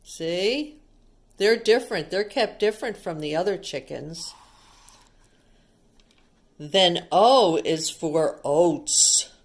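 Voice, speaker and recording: A woman reading a picture book aloud to young children like a teacher, explaining things simply and asking them questions. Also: deep voice.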